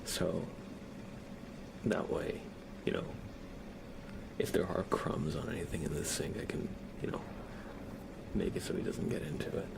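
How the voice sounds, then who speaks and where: A man speaking in a soft, gravelly whisper, chatting casually and gently as an ASMR live streamer greeting viewers. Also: low-pitched, fairly even intonation, unhurried, long pauses, background noise. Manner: gentle, rambling chat with viewers and pet. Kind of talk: ASMR livestream casual chat.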